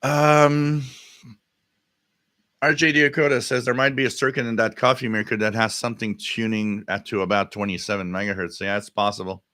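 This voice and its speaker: Bright-voiced man hosting a livestream. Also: on the low side, level tone, no room sound, studio quality. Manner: halting, thinking aloud. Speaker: livestream host. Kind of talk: casual live stream chat, answering viewer comments.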